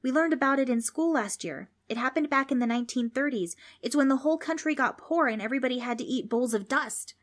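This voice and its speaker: Woman doing an eager, high-pitched know-it-all schoolboy. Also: quick pace, few pauses, close to an everyday voice. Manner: eager, blurting. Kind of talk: children's audiobook character voice.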